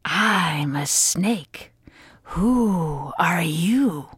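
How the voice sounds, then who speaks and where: A sly young she-snake, lower than the teller's usual voice, slow and hushed with breathy, drawn-out words, as a woman puts her on. The sound is close and dry, with no room around it. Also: lively intonation, no room sound, heavily acted. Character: young female snake, sly and soft-spoken.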